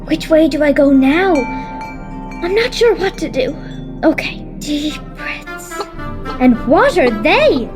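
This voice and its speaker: A brave, anxious little Irish girl in a children's audio drama. Her very high voice is animated and earnest, over music. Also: takes it slowly, heavily acted. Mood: uncertain, anxious. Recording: clear music behind.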